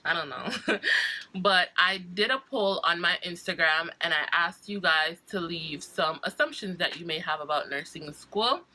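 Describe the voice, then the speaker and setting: A woman's voice: fairly low pitch, measured pace, sharp tone, rough recording. Stuffy-nosed woman vlogging. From Jamaica. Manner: chatty, laughs often, hesitant restarts. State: stuffy from a cold. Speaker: nursing student vlogger. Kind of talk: casual chat to camera.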